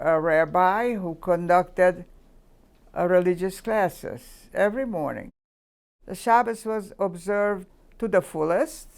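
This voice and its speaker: A woman in her nineties from Hungary, recalling her childhood in a careful, halting way during a seated indoor interview. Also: fairly low pitch, clean tone. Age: 90s. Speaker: Holocaust survivor interviewee. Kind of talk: recounting childhood memories.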